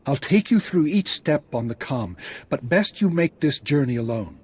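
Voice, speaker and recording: Husky, animated Jedi mentor. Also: male voice, on the high side, moderate pace. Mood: calm, guiding. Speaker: Jedi master guiding a student. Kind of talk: scripted video game dialogue.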